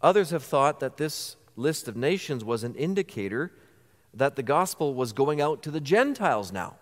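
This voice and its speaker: A man's voice: on the high side, big pitch swings, normal speed. A man preaching a sermon as pastor, earnest, posing rhetorical questions to the congregation. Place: church sanctuary. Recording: church hall reverb.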